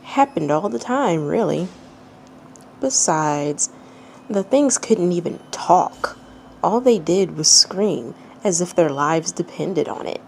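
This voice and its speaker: Woman storyteller, slow unhurried delivery, low-pitched, dramatic read-aloud narration, clear background noise. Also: darkish tone. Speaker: fan fiction reader and channel host.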